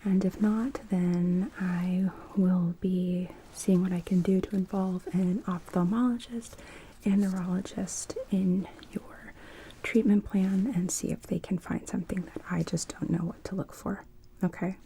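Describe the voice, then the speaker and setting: Female ASMR performer as a gentle optometrist: soft breathy whispering, dark mellow timbre, unhurried delivery, light background noise. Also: on the low side, fairly even intonation, low-quality recording. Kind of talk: ASMR medical roleplay.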